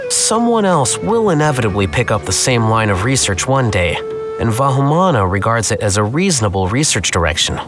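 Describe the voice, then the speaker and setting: An actor playing a calm, stoic scholar in a video game, with a measured, formal, deadpan manner. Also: male voice, medium pitch, over music, lightly acted. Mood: calm, matter-of-fact. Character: calm, stoic, dryly teasing male scholar. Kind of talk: scripted video game dialogue.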